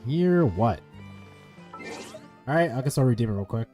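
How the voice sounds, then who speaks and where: A streamer with a husky voice doing casual, blunt, teasing gameplay commentary with plenty of swearing. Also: mid-range voice, animated, moderate pace, dark tone, soft background music.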